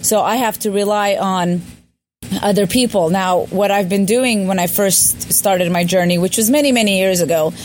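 A woman's voice: medium pitch, slight background noise. A middle-aged woman giving a personal testimony in a voice message, hesitant and searching for her words. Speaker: listener leaving a voicemail.

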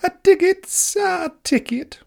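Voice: very high voice, expressive, slow pace, vocal fry, pitched above the performer's own voice, full character voice. A performer voicing a fussy, prim female parking officer in a chirpy, precise children's storybook voice. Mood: officious.

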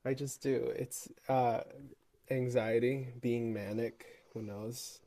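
A young man co-hosting a casual podcast, joking in a dry, deadpan way and drawing out his words. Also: medium pitch, takes it slowly. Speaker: podcast co-host. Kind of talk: casual chat.

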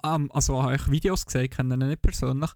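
A man's voice: medium pitch, fairly even intonation, quick pace, darkish tone, no room sound, clean studio sound. A man from the Bernese Oberland with a Bernese Swiss German accent, chatting casually as a podcast guest and laughing often. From Bernese Oberland, Switzerland.

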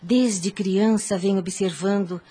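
Devout troubled lady, husky mid-pitched voice, earnest, formal archaic phrasing, radio drama. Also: fairly even intonation, slow, dark tone. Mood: weary, confiding. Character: devout, troubled woman seeking counsel from her priest.

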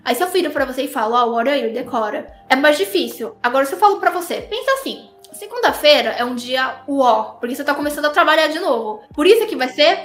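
A young woman from Korea, explaining how she teaches Portuguese to players in a relaxed interview, often laughing. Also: high voice, fast talker, few pauses, faint music behind. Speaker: language teacher for esports players. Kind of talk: casual interview answers. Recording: roomy echo.

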